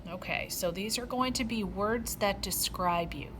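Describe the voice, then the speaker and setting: A middle-aged woman teaching an art lesson, talking gently and patiently with plenty of ums. Her voice sits at an ordinary pitch. A clear background noise runs under her words. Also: a little slow. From Rhode Island, USA. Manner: gentle, step-by-step explaining with frequent ums.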